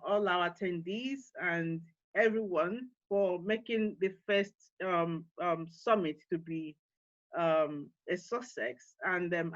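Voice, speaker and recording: A woman's voice: medium pitch, fairly bright tone, no room sound, dull audio. A woman with a Nigerian accent, hosting an online summit and giving encouraging closing remarks, with many fillers and restarts. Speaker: forum co-founder and CEO hosting an online summit. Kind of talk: panel talk and closing remarks.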